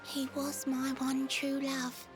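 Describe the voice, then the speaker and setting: A woman's voice: high voice, monotone, slow. A woman voicing a lovelorn, wistful mermaid in a video game, speaking theatrical rhymed verse in a lilting, sighing way, with music behind her. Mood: wistful, tender.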